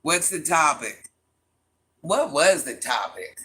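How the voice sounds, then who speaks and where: A woman's voice: deep voice, very animated, slow, fairly bright tone. A woman hosting a casual livestream, reading viewer comments aloud and teasing them by name with a laugh. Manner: calls herself Granny.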